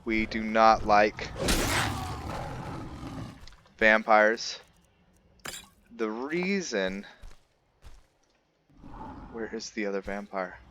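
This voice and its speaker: A man with a fairly low voice hosts a gameplay video at a very slow, unhurried pace. He rambles informally and talks straight to his viewers. Faint game ambience sits behind his commentary. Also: lo-fi sound, background noise. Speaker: gaming video host.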